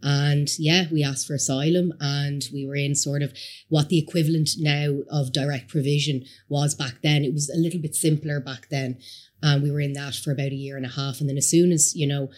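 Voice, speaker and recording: A woman in her thirties, from Somalia and raised in Ireland, with a Dublin accent, telling her life story as a podcast guest in a chatty, animated way. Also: sits low, brisk. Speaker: guest entrepreneur. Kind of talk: casual interview chat. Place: podcast studio.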